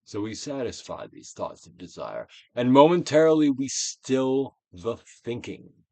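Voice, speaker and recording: A man reading a self-help book aloud as a dramatic, guided-meditation narration, with deliberate actorly emphasis, swelling and dropping to a hush. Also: medium pitch, sing-song, no room sound. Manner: theatrical, deliberate actorly delivery with emphatic swells and hushed drops.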